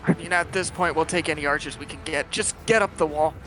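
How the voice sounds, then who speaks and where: A man's voice: fairly high pitch, steady tone, fast, a bit bright, soft background music. A man running a tabletop game as game master, narrating scenes and calling for rolls casually.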